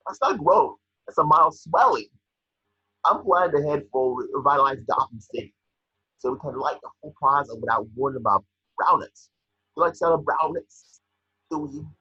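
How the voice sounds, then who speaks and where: Man playing a smug, raspy business tycoon. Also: on the high side, expressive, moderate pace, sharp tone, pitched above the performer's own voice, exaggerated voice. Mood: impatient. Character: smug, impatient male business tycoon pitching grand plans. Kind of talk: amateur table read acting. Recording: echoey room, dull home microphone.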